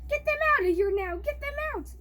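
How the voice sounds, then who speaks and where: A man's voice: extremely high pitch, animated, brisk, pushed and bright, a little hiss or hum, voice pushed up, heavily acted. A young boy voicing a boastful, vain storybook king who talks in a bossy, blustering way. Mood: frantic.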